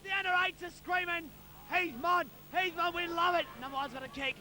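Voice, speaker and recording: Man, Australian accent, very high pitch, bright forceful tone, voice giving out, excited partisan footy commentary. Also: fairly quick. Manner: excited, urging players on, colloquial. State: losing his voice from shouting. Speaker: amateur football co-commentator. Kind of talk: partisan live sports commentary.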